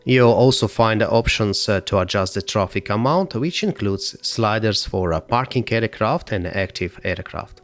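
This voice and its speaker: Low-voiced man giving a halting tutorial. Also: no room sound. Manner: halting delivery with frequent hesitations. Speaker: software tutorial presenter. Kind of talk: step-by-step tutorial narration.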